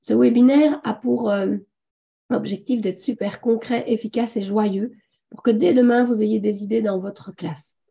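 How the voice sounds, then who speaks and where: A woman with a hoarse voice from a sore throat, enthusiastically and a little haltingly presenting a live online webinar as host. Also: fairly high pitch, steady tone, mellow. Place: online video conference.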